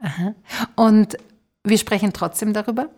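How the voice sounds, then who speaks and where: A woman's voice: mid-range voice, fairly even intonation, moderate pace, warm tone. Reflective woman hosting a conversation. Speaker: podcast host. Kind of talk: conversational interview, thinking aloud.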